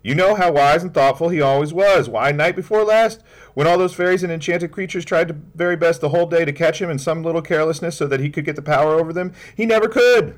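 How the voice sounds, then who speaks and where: A man voicing an earnest little girl who insists on every detail, in a high, quick, pleading voice. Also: lightly acted. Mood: insistent.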